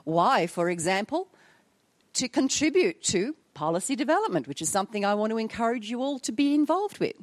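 A woman's voice: on the high side, big pitch swings, a little fast, no room sound. An older Australian woman giving a conference address, wry and emphatic, with self-mocking jokes. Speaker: conference speaker. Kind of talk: public address.

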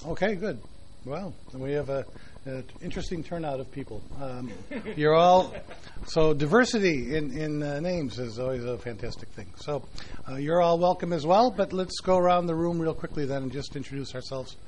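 A man's voice: on the high side, moderate pace, a little hiss or hum. A man chairing a conference meeting in a meeting room, speaking loosely with frequent "uh"s. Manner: relaxed, filler-heavy speech with many "uh"s.

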